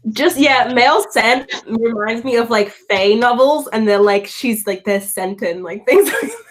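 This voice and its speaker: A woman's voice: fairly high pitch, a little slow, poor audio. A woman chatting casually and ramblingly about books in slangy, loose phrasing. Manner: filler-heavy, slangy "like" and "dude". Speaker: book discussion panelist. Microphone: video call.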